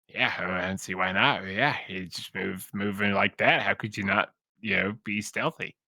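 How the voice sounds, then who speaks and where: A man's voice: lowish voice, moderate pace, creaky, sharp tone, no room sound, clean studio sound, full character voice. A man voicing a chatty, boastful male goblin in a tabletop game, rambling folksily.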